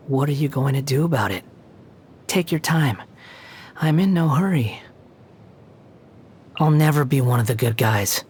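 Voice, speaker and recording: Young male game character, arrogant bitter puppet, slightly breathy husky voice, mid pitch, dry and condescending. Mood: mocking, amused. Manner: condescending, sharp-tongued, theatrical, dry scoffing delivery. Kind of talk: video game character voice lines.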